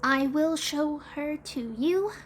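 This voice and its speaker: A woman doing a timid little boy, high and slow. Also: slight background noise. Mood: solemn. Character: timid, earnest young boy, easily frightened.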